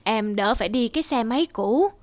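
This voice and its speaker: Earnest, devoted cartoon husband. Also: male voice, very high-pitched, fairly quick, no room sound. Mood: tipsy, apologetic. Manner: earnest, expressive. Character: devoted, hard-working husband, earnest and emotional. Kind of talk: cartoon drama acting.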